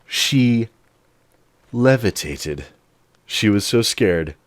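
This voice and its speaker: A man in his late thirties, an animated storyteller who swears freely, telling a personal story straight to the mic. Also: lowish voice, extremely slow, dry recording. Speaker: channel host. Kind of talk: storytime monologue.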